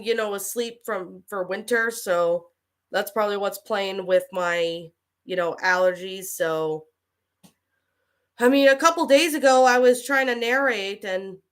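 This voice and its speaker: A woman with a cold, chatting casually as a livestream host and answering viewers in a halting way. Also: mid-range voice, fairly bright tone. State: getting over a cold with allergies. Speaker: livestream host and creepypasta narrator.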